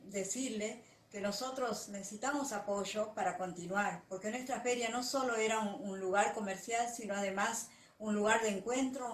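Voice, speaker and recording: A woman from Argentina makes an earnest, halting testimonial appeal for her community. She is heard from a distance in a reverberant space with faint background noise. Her voice sits at a middle pitch.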